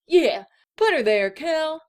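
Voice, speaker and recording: A woman's voice: high-pitched, lively intonation, slow pace. A woman voicing a friendly, eager young boy dragon with a casual, earnest manner in an audio drama. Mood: cheerful. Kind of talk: scripted audio drama character acting.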